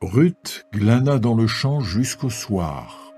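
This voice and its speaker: A man narrates scripture with a lively rise and fall, taking his time. The voice is warm and dark, over faint music. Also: lowish voice, takes it slowly, a little hiss or hum.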